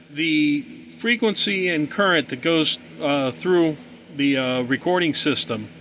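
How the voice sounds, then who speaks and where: A man's voice: fairly high pitch, fairly bright tone, slight background noise. A man giving an informal hands-on tutorial in a plain-spoken, folksy, explanatory way. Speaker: hobbyist presenter explaining cassette tapes.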